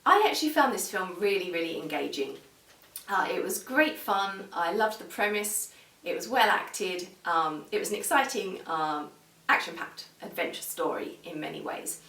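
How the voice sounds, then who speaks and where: Echoey, lowish-voiced woman reviewing. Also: expressive, moderate pace, creaky, fairly bright tone. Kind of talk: casual video review. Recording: echoey room, distant microphone.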